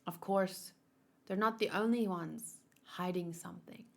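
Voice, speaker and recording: A woman reading manga aloud as a stern male military commander, grave, decisive and measured. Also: fairly low pitch, creaky, a little mellow. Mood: grave, resolute.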